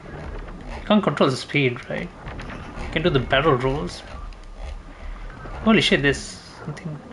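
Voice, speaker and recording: A man's voice: on the high side, expressive, unhurried, creaky, a bit bright, slight background noise, rough recording. A man giving casual, informal playthrough commentary as a gaming streamer, reactive and prone to swearing.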